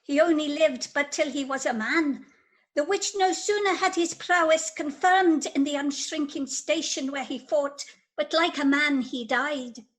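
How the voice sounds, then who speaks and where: Woman acting a high-voiced solemn noble messenger. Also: measured pace. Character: solemn noble messenger bearing news of death. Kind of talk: radio play Shakespeare acting.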